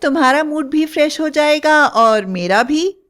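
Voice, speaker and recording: A young wife with a witch hidden inside her, played by a woman narrator. She speaks high and sweet, with pushy cheer, as in a cartoon bedtime horror story. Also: lively intonation, measured pace, fairly bright tone. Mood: cheerful, insistent. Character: possessed young wife hiding a witch, sugary and eager.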